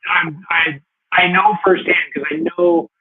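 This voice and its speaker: A man's voice: high voice, fairly even intonation, unhurried, phone-quality sound. A man hosting an interview, asking long, rambling questions that are full of restarts. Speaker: talk show host. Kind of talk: casual interview.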